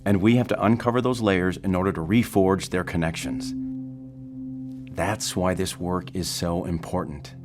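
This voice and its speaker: An actor playing a calm, patient male therapist in an audio drama, speaking in a measured, clinical and reassuring way. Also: low-pitched, over music, close to an everyday voice. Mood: calm, probing. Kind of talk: naturalistic audio drama acting.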